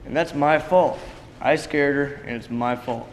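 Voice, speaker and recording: A man acting in a play reading as a frightened, confused man losing his memory, subdued and halting, in a reverberant, noisy hall. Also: medium pitch, lightly acted. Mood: guilty. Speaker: drama student actor. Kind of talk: dramatic reading of a stage play. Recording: reverberant hall with background noise.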